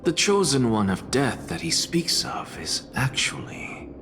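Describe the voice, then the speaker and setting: An actor playing a calm scholar who reasons through hypotheses in a measured way. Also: male voice, medium pitch, noisy background. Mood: analytical. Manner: analytical, measured. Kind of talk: game voice acting. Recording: game music behind.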